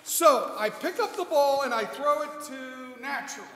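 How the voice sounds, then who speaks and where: Man playing high-pitched, exasperated comedy stooge. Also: normal speed, slight background noise. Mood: baffled, frustrated. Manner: loud, indignant, repetitive. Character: exasperated, confused ballplayer in a classic comedy routine. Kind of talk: old-time radio comedy stage act. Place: stage in a hall. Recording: echoey hall with long reverb.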